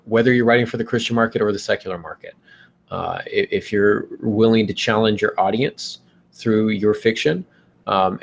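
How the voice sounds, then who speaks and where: A man in a casual podcast discussion, giving reflective writing advice in a halting, thoughtful, explanatory way. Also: fairly low pitch, gravelly.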